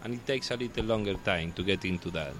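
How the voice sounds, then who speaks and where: A man from Spain with a Spanish accent, talking as a guest in casual chat, halting and restarting as he searches for words. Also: lowish voice, fairly bright tone, slight background noise.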